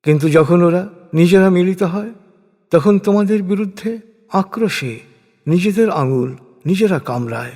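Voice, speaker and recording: Male narrator, solemn religious recitation, slightly high pitch, husky tone, measured scripted phrasing. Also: darkish tone. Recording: long hall-like reverb.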